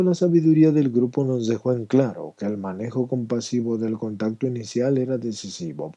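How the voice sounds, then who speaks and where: A man's voice: fairly low pitch, mellow, husky. A man reading a personal testimony aloud as scripted narration.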